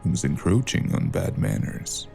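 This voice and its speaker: An actor playing a brooding narrator of a noir audio drama, reading scripted narration in a measured way. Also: male voice, a bass voice, wildly swooping pitch, vocal fry, dark tone, faint music behind, heavily acted.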